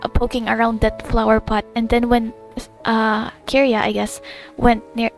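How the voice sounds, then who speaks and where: A young woman reacting casually to a video game, with murmured asides and expressive exclamations. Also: a little high, moderate pace, gravelly. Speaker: gaming video creator. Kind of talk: casual spoken reactions to gameplay. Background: faint game music.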